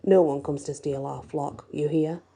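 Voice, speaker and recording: A woman's voice: low voice, fairly even intonation, measured pace, dark tone, husky, exaggerated voice. A woman voicing a rival male werewolf pack leader in a storybook, a blunt, taunting bully who is a coward underneath. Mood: threatening.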